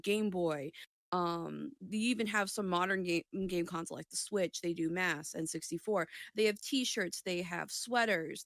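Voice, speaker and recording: A woman co-hosting a podcast in casual chat, excitable, chatty and full of slang. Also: fairly low pitch, no echo. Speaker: podcast co-host.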